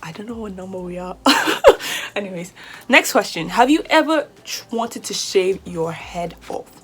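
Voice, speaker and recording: A woman's voice: mid-range voice, normal speed. Chatty young British-accented woman. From Nigeria. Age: 20s. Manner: chatty and lively, sometimes flustered and rambling. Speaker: beauty vlogger. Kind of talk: casual chat to camera.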